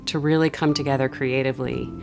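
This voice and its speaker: A woman with a very deep voice speaks as a charity representative in an interview. Guitar music plays clearly behind her. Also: fairly even intonation, fast talker. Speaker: charity staff spokesperson.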